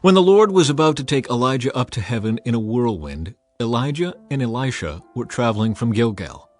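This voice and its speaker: Solemn male scripture narrator. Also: mid-range voice. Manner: measured, solemn, even reading. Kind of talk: dramatized scripture reading. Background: faint music.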